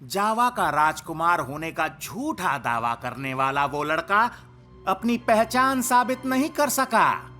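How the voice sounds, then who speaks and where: A man's voice: on the high side, very animated, slow pace, bright, forceful tone, soft background music, heavily acted. A man voicing a smug, scheming male court villain in a cartoon dub, with an insinuating, theatrical way of talking. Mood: sly, menacing.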